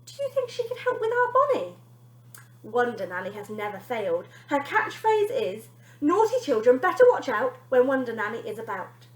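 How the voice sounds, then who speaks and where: A woman's voice: on the high side, big pitch swings, moderate pace. A woman voicing a polite, put-upon mother in a children's story. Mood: excited. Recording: echoey room.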